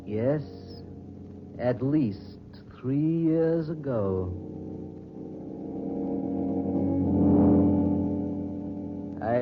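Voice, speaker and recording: An actor playing a suave, mysterious betrayed husband bent on revenge, with measured, elegant diction in old radio drama style. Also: male voice, mid-range voice. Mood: brooding reminiscence. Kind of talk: 1940s radio drama acting. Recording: dull old radio recording with music.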